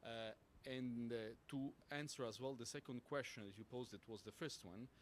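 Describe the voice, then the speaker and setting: A man with an Italian accent, a native Italian speaker, answering as a panelist, halting and searching for words with many fillers. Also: mid-range voice, slight background noise. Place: conference hall panel.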